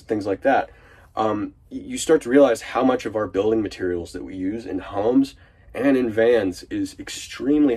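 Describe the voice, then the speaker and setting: A man's voice: on the low side, darkish tone, breathy edge. A man with a nasal voice from a cold, rambling casually through a vlog update from inside an unfinished van. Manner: rambling and chatty, lots of fillers and restarts. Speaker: vlogger.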